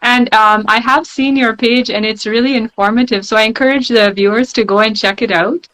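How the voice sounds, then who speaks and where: Female moderator, a bit high, warm encouraging chat with fillers. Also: steady tone, a little fast, rough recording. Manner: encouraging, filler-heavy, warm praise of guests. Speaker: panel host. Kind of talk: moderating an online panel.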